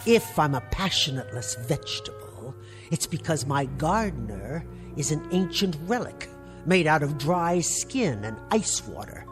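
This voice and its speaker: A tart, weary spinster niece. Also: female voice, low-pitched, sing-song, a little slow, over music. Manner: tart, clipped, sarcastic retorts. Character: weary resentful spinster niece, middle-aged. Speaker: put-upon niece and housekeeper. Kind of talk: 1960s TV drama acting.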